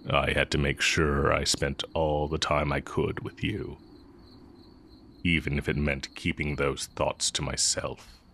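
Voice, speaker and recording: Guy doing a wicked wizard professor, super low voice, takes his time, teasing and secretly lovesick, audio roleplay w a bit of night insect noise. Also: flat delivery, bright, forceful tone, heavily acted. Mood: tender, confessional. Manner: measured, deliberate, teasing delivery with drawn-out pauses. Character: evil heretic male magic teacher, sly and secretly lovelorn. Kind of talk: romantic audio roleplay acting.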